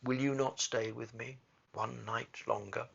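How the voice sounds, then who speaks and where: A man voicing a compassionate male prince statue in a storybook, speaking quietly and solemnly, now and then commanding. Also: medium pitch, steady tone, measured pace, creaky, husky, lo-fi sound, full character voice.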